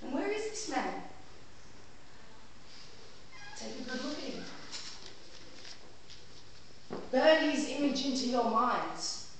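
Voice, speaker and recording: A woman on stage playing a pompous, melodramatic defense lawyer, addressing a jury with grandiose, deliberate delivery and dramatic pauses. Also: sits high, very animated. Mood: cold, accusing. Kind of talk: theatrical courtroom monologue in an amateur stage play. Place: small theatre stage before a live audience. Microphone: distant camera microphone. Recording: echoey theatre, far from mic, faint noise.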